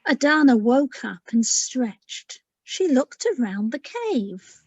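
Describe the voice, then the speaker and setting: A woman with a fairly high voice, talking like a children's author at a read-aloud. Her pace is slow and informal. The sound is dry and somewhat lo-fi. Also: sing-song, a little mellow, no echo.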